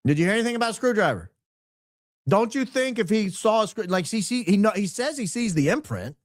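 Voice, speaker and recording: Male commentator, higher-pitched and very lively, questioning, repetitive, casual, dry close sound. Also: brisk, dry recording. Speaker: host. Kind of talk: casual commentary on audio clips.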